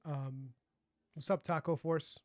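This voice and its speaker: A man's voice: a little high, steady tone, slow pace, a little mellow, dry recording, clean studio sound. A young man with a light, youthful voice, chatting casually on a livestream and rambling with lots of fillers. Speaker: streamer and comic artist.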